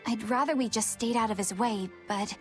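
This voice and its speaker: A woman's voice: on the high side, moderate pace, faint music behind, natural voice. A woman playing a prim, serious young woman in an English game dub, earnest, proper and drily disapproving.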